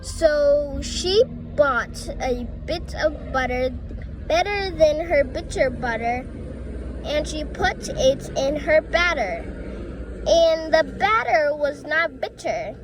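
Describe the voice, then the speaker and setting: A woman reading playful rhymes and tongue twisters aloud in a halting way, often stumbling over words. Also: high voice, lively intonation, background noise. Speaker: reader of children's poems.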